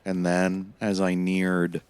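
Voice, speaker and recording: A man playing a weary, haunted time-traveling prisoner who narrates his memories in a measured, somber, reflective way, as in an audio play. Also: low-pitched, monotone, darkish tone, smooth. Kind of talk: scripted first-person dramatic narration.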